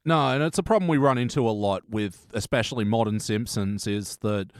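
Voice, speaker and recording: A man's voice: lowish voice, brisk, clear, resonant tone, no echo, studio quality. A man hosting a podcast review in a casual, conversational style. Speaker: podcast host leading a panel review. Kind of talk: casual chat.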